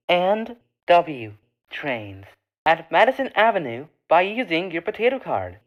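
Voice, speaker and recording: A woman reading scripted train stop announcements as a transit announcer, in measured, formulaic, separate phrases. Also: on the low side.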